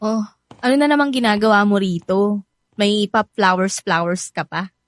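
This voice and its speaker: A woman's voice: medium pitch, normal speed, lightly acted. An actress playing a feisty, guarded young woman in a radio drama, with a Filipino accent and an exasperated, emotive delivery. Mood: annoyed.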